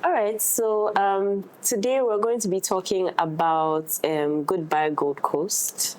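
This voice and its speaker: A woman's voice: medium pitch, fairly even intonation, slow pace, a little mellow, low-quality recording. A woman chatting casually and playfully as an actress in a discussion. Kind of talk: casual chat. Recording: noticeable background noise.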